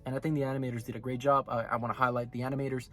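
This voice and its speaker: A man runs his anime review video in a casual, rambling way, often stopping to correct himself mid-sentence. He is sick, and his voice sounds hoarse and raspy throughout. His voice sits at an ordinary middle pitch, with soft music faintly underneath. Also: little pitch movement, rapid-fire.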